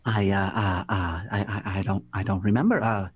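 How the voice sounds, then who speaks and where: A woman voicing a flustered man in a celebrity parody for a comic radio play, halting and stammering with lots of uhs. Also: rumbling low, fairly even intonation, slightly rough, pitched below the performer's own voice.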